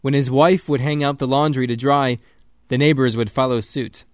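A male radio reporter reading a scripted news story in an even, clear manner. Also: medium pitch, normal speed, no room sound, studio quality.

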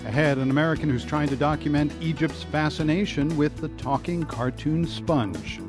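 A man hosting a radio news program, reading scripted introductions in a measured, even delivery. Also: a little high, over music.

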